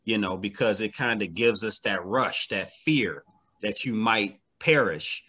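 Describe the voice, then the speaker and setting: A man's voice: fairly low pitch, unhurried, sharp tone, husky. A man speaking as an interview guest in a casual, reflective way, emphatically repeating phrases to build his points. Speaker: interview guest, comic book writer.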